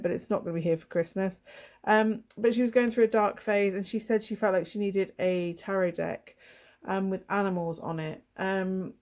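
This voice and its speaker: A woman with laryngitis, her voice hoarse, husky and throaty, chatting casually and apologetically as she flips through a tarot deck for her channel. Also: mid-range voice, darkish tone.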